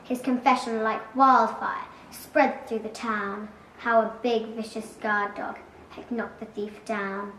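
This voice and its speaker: A woman's voice: a little high, little pitch movement, takes it slowly, fairly bright tone. A school-age girl of about nine or ten giving a dramatic recitation of a comic poem. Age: child, about 9 or 10. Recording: echoey room, distant mic, faint noise.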